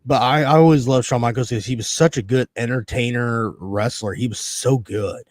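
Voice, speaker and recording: Thirties male host, animated and profane, mid-pitched voice, dry recording. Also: moderate pace, dry recording. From Connecticut, USA. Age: 30s. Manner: excitable, swears freely, laughs often. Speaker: podcast host. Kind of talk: casual wrestling chat.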